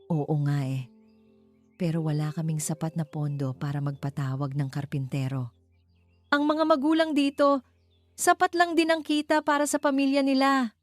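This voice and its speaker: Lady reader doing a sweet gentle young filipina teacher, soft way of talking, love story audiobook with music behind. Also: deep voice, moderate pace, dry recording, natural voice. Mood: worried. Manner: soft, tender speech. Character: gentle, caring young woman village schoolteacher.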